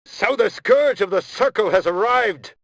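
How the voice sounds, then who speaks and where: An actor playing a zealous, wicked sorcerer in a fantasy game, declaiming theatrically. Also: male voice, high voice, animated, unhurried, no echo, lo-fi sound, heavily acted. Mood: fanatical scorn. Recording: very loud noise over the voice.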